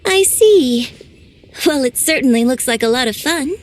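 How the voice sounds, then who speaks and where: A courteous young lady with a fairly high voice. She lays out her explanations calmly and formally. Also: normal speed, faint music behind. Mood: curious. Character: polite, composed young woman, formal and courteous. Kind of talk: video game voice acting.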